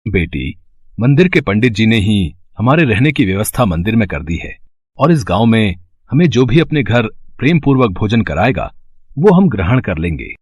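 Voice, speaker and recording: A man voicing a pious, fatherly wandering Brahmin in a moral-story cartoon, speaking formally and with measured solemnity. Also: lowish voice, big pitch swings, slightly rough, dry recording. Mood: pious, kindly.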